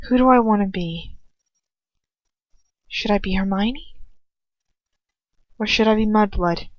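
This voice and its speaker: A woman narrates a first-person story with dramatic feeling at a medium pitch. The sound is dry and a little dull, like a homemade lo-fi audiobook. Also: dry recording. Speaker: amateur audiobook reader. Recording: dull, lo-fi close recording.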